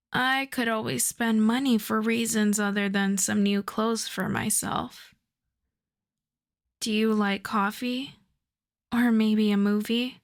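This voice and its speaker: A woman playing a suave, self-assured female supervillain in a roleplay audio drama, flirting and teasing in an unhurried way. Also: mid-range voice. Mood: flirtatious, teasing. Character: suave flirtatious female supervillain courting her costume maker.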